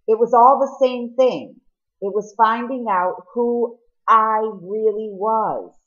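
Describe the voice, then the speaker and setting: A woman's voice: a little high, slow, a bit bright, muffled recording, pitched above the performer's own voice. Woman reading as a remorseful, earnest teenage boy.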